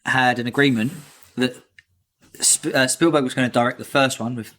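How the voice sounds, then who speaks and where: A man's voice: medium pitch, fairly even intonation, moderate pace, bright, forceful tone. A man hosting a casual podcast, reading out trivia with a teasing, jokey manner. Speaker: podcast host.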